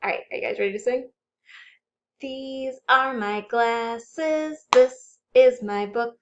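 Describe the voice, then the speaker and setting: A woman hosting a children's storytime, reading aloud and chanting rhymes in an animated, exaggerated way for young children. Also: a little high, slow pace, lo-fi sound. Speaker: children's librarian storytime host.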